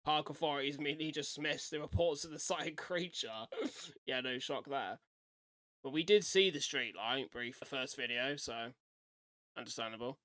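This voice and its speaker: A man's voice: medium pitch, normal speed, sharp tone, no echo. A man giving a casual live-stream reaction, reading things aloud and commenting as he goes. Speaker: streamer reacting to horror videos.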